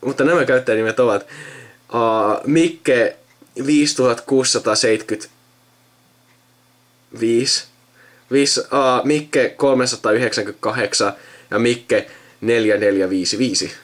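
A young man with an average-pitched voice talks casually. He rambles as he thinks aloud, often leaving sentences unfinished. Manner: rambling, trailing off mid-sentence. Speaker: video host recording himself with a friend. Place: indoors at a computer.

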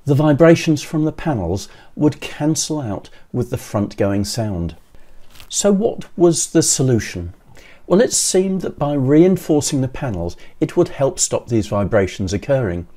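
An older man in his sixties giving scripted how-to narration in a measured, methodical way, as a presenter. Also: medium pitch, big pitch swings, mellow. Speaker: presenter of an electronics how-to video.

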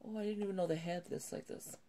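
Low-pitched lady, kinda nasal from a cold, chatty and rambly, background hiss at home. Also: steady tone, fast, dark tone. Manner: rambling, self-correcting, chatty. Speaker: vlogger. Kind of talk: casual haul video chat. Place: indoors at a home table.

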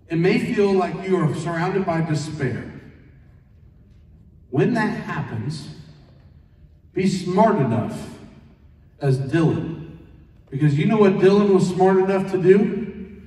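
A man, a pastor giving a plain-spoken, animated sermon to schoolchildren, full of rhetorical questions. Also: sits high. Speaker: pastor leading a children's chapel. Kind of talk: sermon to fifth and sixth graders. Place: large school gymnasium, indoors. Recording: echoey gym, distant microphone.

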